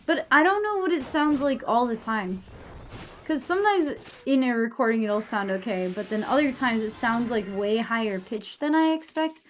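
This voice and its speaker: A young woman talks casually on a live stream with a somewhat high voice. She rambles, leans on "like" fillers and swears now and then. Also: slight background noise.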